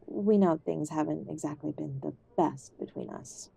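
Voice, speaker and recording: Audio drama mother female actor: frail, conciliatory, middle-aged, very dark muted tone, close dry sound. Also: deep voice, normal speed, gravelly, husky, dry recording, full character voice. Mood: sorrowful. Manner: soft, pleading, conciliatory. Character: ailing, contrite older mother of estranged werewolf son. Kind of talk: audio roleplay drama acting.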